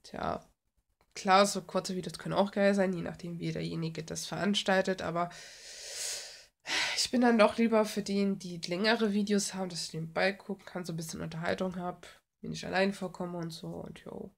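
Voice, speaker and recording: A woman's voice: fairly low pitch, fairly even intonation, normal speed, vocal fry. A young woman rambling casually and thinking aloud in an offhand way, as an art vlogger chatting while she works at a desk at home. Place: small cluttered room at home. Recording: noisy home recording with clicks.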